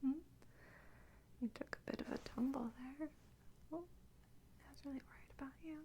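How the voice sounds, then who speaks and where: A soft-spoken woman chatting casually in an ASMR style, often dropping into whispers, playful and doting toward the listener. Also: sits high, steady tone, normal speed, a little hiss or hum. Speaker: ASMR creator talking to viewers. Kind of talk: casual rambling ASMR chat.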